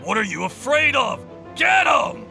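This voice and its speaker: A man's voice: very high-pitched, big pitch swings, a little slow, vocal fry, bright, forceful tone, faint music behind, heavily acted. A man voicing a menacing male bandit boss who talks in curt, suspicious, threatening lines. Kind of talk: video game voice acting.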